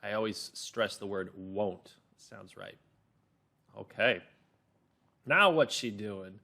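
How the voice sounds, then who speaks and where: A man hosting a children's read-along lesson, narrating and explaining words with careful stress, in an animated way. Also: fairly low pitch, takes it slowly, vocal fry. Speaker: teacher, reading-lesson host.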